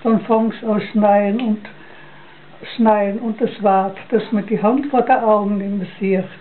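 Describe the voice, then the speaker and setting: An older woman with a Styrian Austrian accent recites a dialect poem from memory, halting and repeating phrases, on a lo-fi home recording. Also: medium pitch, steady tone. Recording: dull lo-fi home recording, noisy.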